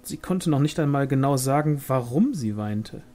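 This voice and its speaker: A man's voice: mid-range voice, animated, normal speed, mellow, soft background music. A man reading a novel aloud as scripted audiobook narration. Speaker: audiobook reader.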